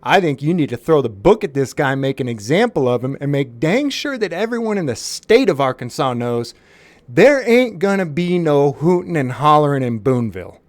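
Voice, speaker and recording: A man's voice: a little high, very animated, moderate pace, dry recording. A man making a prank phone call to a police department, mock-serious and faux-sincere, often restarting his sentences.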